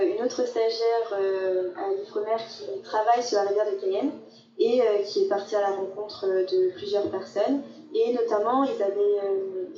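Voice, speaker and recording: A woman's voice: mid-range voice, warm tone. A young woman, a student researcher, answering an audience question hesitantly with many fillers. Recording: dull roomy sound from far off.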